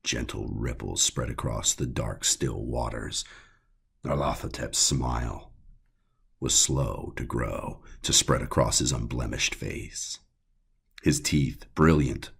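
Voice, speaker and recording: A man reads dramatic horror fiction in a bottomless, gravel-filled voice. He takes it slowly and gravely, giving the scripted prose a deliberate weight. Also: very deep voice, fairly bright tone. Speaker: audiobook narrator.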